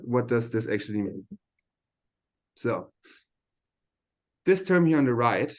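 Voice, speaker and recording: Male seminar lecturer, mid-pitched, informal and halting, thinking aloud through technical explanations. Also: a little mellow.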